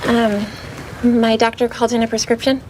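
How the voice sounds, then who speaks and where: A woman's voice: a little high, little pitch movement, moderate pace, lightly acted. An actress playing a hesitant, questioning female customer in screen drama, on a slightly noisy clip.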